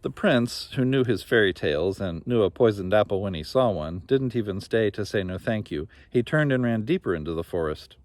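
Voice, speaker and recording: A man's voice: fairly low pitch, lively intonation, fairly quick, few pauses, darkish tone, no room sound. A man narrating a children's fairy tale expressively as a storybook reader. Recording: noticeable background noise.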